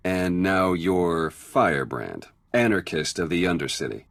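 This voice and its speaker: Deep-voiced, frank spy. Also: male voice, lively intonation, measured pace, creaky, fairly bright tone, dry recording, studio quality, heavily acted. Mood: wry, probing. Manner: frank, matter-of-fact. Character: pragmatic, wry male intelligence agent, loyal ally. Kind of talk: video game cutscene acting.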